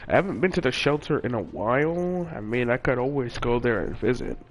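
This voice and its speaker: A man's voice: mid-range voice, lively intonation, fairly quick, slight background noise. A young man casually telling a personal story in a rambling way, with many fillers and restarts. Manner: rambling, with frequent fillers and self-corrections. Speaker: vlogger.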